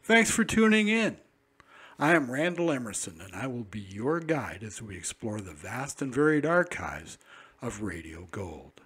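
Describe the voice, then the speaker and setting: Male presenter, mid pitch, scripted introduction for a vintage radio anthology. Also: sing-song, measured pace, fairly bright tone, breathy edge. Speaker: host.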